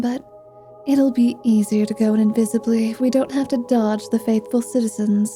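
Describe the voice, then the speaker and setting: A woman voicing a flirtatious, mischievous vampire paladin in an ASMR roleplay, with a teasing, drawn-out delivery. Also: on the high side, dark tone. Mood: scheming, teasing. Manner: slow, teasing, sultry delivery with drawn-out words.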